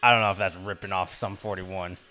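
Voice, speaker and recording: Laid-back young guy with a bright voice. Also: low-pitched, steady tone, a little fast, faint music behind. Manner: laid-back, laughs often, says "dude". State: throat getting raspy. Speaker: podcast co-host. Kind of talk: casual chat.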